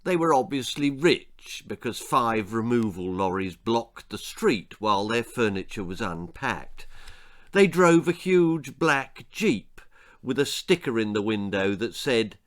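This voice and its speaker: A British man reads a children's tale as its author. His delivery is dramatic and takes its time, with pitch that rises and falls widely. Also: fairly bright tone. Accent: British English. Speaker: author reading own stories.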